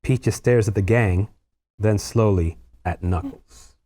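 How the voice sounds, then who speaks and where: A man's voice: fairly low pitch, slow, noisy background. A man narrating the stage directions of a screenplay as a scripted read.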